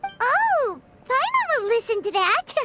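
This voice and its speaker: A very high, childlike fairy sidekick from an anime game. The chirpy, blunt voice swings wildly in pitch and takes its time, with loud music behind it. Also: female voice, very animated, slow, exaggerated voice. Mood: grumbling, skeptical. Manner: refers to self in third person, blunt. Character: small childlike floating fairy companion, chirpy and opinionated. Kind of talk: English-dubbed anime game voice acting.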